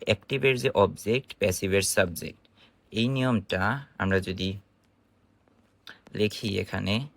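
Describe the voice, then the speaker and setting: Male online tutor, Bangladeshi accent, lowish pitch, dry close sound, explains by posing questions. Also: a little slow, creaky, long pauses, fairly bright tone, no echo. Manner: explanatory, instructive, asking and answering own questions. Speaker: English grammar teacher. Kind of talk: informal online grammar lesson.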